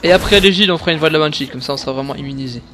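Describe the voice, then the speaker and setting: A man commentating his own gameplay live, excitable and coaching the viewers on items. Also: on the high side, rapid-fire, slight background noise. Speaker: gamer explaining his play. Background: game music.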